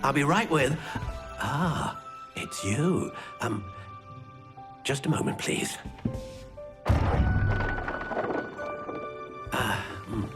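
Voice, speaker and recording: A fussy old-fashioned game wandmaker, kindly and distracted. He speaks slowly with very animated swings in pitch, muttering between phrases, over prominent music. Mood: flustered. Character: fussy, absent-minded, kindly male wandmaker shopkeeper. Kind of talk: video game character acting. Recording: music behind the voice.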